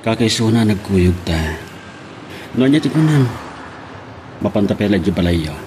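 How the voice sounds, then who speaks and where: A young man, played by an actor with a fairly low voice and an Ilocano accent. He speaks in radio love story style over a faintly noisy track. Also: expressive, normal speed, darkish tone, lightly acted. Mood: reassuring. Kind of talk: Ilocano radio drama acting.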